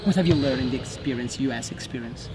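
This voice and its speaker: A male interviewer who is not a native English speaker, asking casual sports questions in hesitant, halting phrases. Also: mid-range voice, noisy background. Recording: music playing over the voice.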